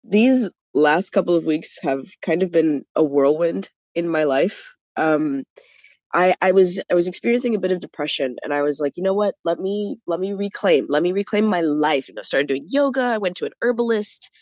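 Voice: mid-range voice, animated, normal speed, darkish tone, no room sound. Chatty young adult telling a story. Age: 20s. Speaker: interview guest. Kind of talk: casual storytelling.

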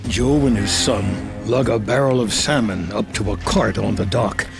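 Male narrator, American, slightly deep and unhurried, reading scene action from a script over soft music. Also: creaky. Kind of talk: scripted screenplay narration, present tense.

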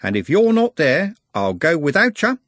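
Casting: cheery, worldly coach driver, wry patter, swinging pitch, voiced by a man. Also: huge pitch swings, moderate pace, no echo, full character voice. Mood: cheerful. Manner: chatty and wry, with a raised, bright delivery. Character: cheerful, worldly middle-aged male night-bus driver.

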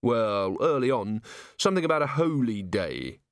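Guy doing grumbly working class merchant in an audiobook, blunt complainy talk, bouncy pitch, dry recording. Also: big pitch swings, moderate pace, no room sound. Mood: aggrieved. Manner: colloquial, complaining.

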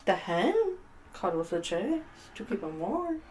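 A young woman co-hosting a casual podcast chat, animated and quick to laugh. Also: mid-range voice. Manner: laughs often, animated storytelling. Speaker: podcast co-host.

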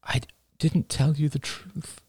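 A man's voice: sits high, lively intonation, unhurried, a little mellow, breathy edge, dry recording, pitched above the performer's own voice, exaggerated voice. A man voicing an anxious but defiant young woman reporter in an audiobook, pleading and protesting. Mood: timid, vulnerable.

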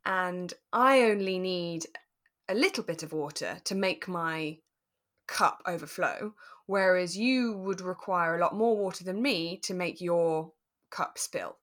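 Woman with a lowish voice, explaining conversationally. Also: a little slow, fairly bright tone. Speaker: podcast co-host.

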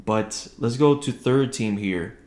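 A man's voice: takes it slowly, darkish tone. A man hosting a casual solo sports podcast, talking conversationally and keeping his voice down as he records at home. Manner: conversational, keeping voice low late at night. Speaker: podcast host. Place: indoors at home, echoey room. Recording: roomy, echoing home recording.

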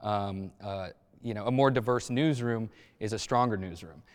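A man speaking as a journalist on a discussion panel, feeling out his phrases in a halting, measured way. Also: fairly low pitch. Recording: long echoing reverb.

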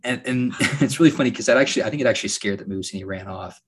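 A young man from Alaska hosting a podcast, telling stories in a relaxed way with lots of fillers and a slightly raspy voice. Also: lowish voice, racing. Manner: relaxed storytelling with frequent fillers and laughs. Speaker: podcast host. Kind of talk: casual podcast chat.

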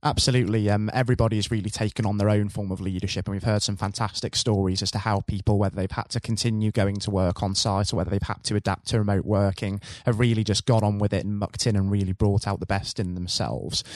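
A man's voice: lowish voice, darkish tone, no echo. A man hosting a podcast, who gives a scripted introduction in a polished, formal presenter manner and then asks interview questions. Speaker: podcast host.

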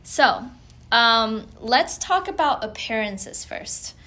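A woman's voice: on the high side, expressive, takes it slowly, sharp tone. A young woman from China, a college student hosting a podcast, reading her intro in a plain, slightly slipping way. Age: young adult. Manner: plain, read-aloud delivery with small slips. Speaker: podcast co-host. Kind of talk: semi-scripted podcast intro.

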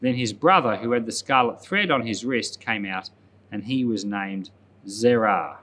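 A man speaking as a pastor, reading and explaining scripture aloud in a measured, plain-spoken way. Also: lowish voice, bright, forceful tone. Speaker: pastor, Bible podcast host.

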